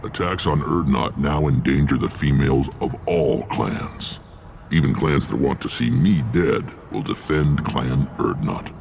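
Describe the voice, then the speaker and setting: A man voicing a huge, gruff alien clan leader in a video game, gravelly, blunt and authoritative. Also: a little slow, noisy background, full character voice. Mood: firm, proud. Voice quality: gravelly, rumbling.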